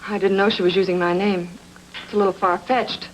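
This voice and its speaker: A woman's voice: mid-range voice, flat delivery, brisk, a little hiss or hum, natural voice, poor audio. A woman playing a defensive married woman caught up in a crime intrigue, in old film noir radio drama style.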